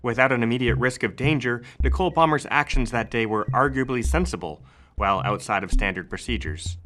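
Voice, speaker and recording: An actor as a male crime-case narrator over faint music. He reads in a mid-pitched voice, measured, deliberate and analytical. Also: a bit bright, close to an everyday voice. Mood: grave, measured. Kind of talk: scripted narration.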